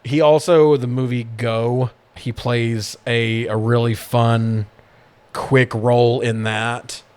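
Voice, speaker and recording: A man's voice: mid-range voice, flat delivery, slow pace, a little mellow, clear, resonant tone. Man in a sweary, casual chat. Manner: profane, slangy. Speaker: podcast host.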